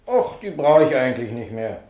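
Actor as wry schoolmaster, swinging intonation, roomy. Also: male voice, medium pitch, big pitch swings, warm tone, dull audio, natural voice. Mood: teasing irony. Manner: ironic, deliberate, thoughtful humming. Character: wry, teasing male schoolteacher, gently stern professor. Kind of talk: German radio play acting. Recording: roomy classroom-like echo.

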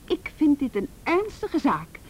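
A businesslike juvenile police officer in a radio play. Her voice sits fairly high and her manner is firm and brisk. A light rumbling noise lies under the recording. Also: huge pitch swings, full character voice. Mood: stern, probing. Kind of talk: Dutch radio play acting.

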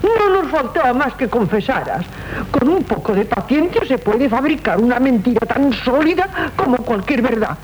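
An actress with a Castilian accent playing a scheming, domineering aunt in a 1970s radio drama, scolding imperiously and theatrically. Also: female voice, fairly high pitch, big pitch swings, moderate pace, darkish tone. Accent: Castilian Spanish. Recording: muffled, noisy 1970s radio recording.

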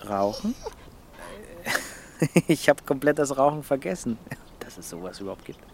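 A man's voice: mid-range voice, sing-song, moderate pace, slight background noise. A man playing a burnt-out, diffident city poet who talks casually and somewhat hesitantly. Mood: bemused. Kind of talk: audio drama dialogue. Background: clear music behind the voice.

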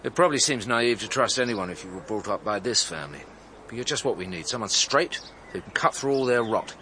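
An actor with a British accent playing a shrewd, wry chief inspector in a radio drama, dry and authoritative. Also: male voice, on the low side, animated, a little fast, bright, forceful tone, slight background noise.

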